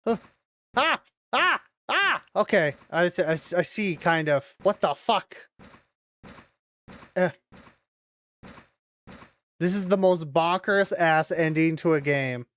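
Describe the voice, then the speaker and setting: Sweary, animated woman gamer. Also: on the low side, slow, long pauses. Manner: sweary, exasperated muttering and exclaiming. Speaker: streamer playing a video game. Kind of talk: casual live gameplay commentary.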